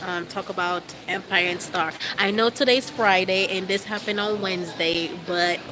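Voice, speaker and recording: A woman's voice: medium pitch, normal speed, background noise. A young woman with a stuffy nose from a cold, chatting casually to her fans on a live stream, with lots of fillers, holding the phone at arm's length. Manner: chatty, relaxed, with frequent fillers and laughs. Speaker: vlogger livestreaming to fans. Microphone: phone held at arm's length.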